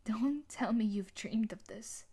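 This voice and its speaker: Woman acting intense, husky, breathy yandere bully. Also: fairly high pitch, measured pace, mellow, heavily acted. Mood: broken, confessing. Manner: intense, emotional, breathy delivery often sinking to whispers. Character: tough sadistic yandere bully wife, now desperate and remorseful. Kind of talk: dramatic audio roleplay monologue.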